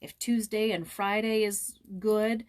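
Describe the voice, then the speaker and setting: A woman's voice: mid-range voice, fairly even intonation, takes it slowly, a little mellow, smooth. A woman hosting a read-aloud, narrating a children's novel. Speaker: storybook reader and host.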